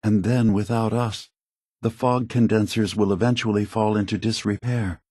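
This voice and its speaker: An actor playing a weary, guilt-burdened synth leader in a video game, speaking softly in a measured, grave, philosophical way. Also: male voice, on the low side, steady tone, slightly rough, no echo, clean studio sound. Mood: somber. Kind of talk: scripted video game character dialogue.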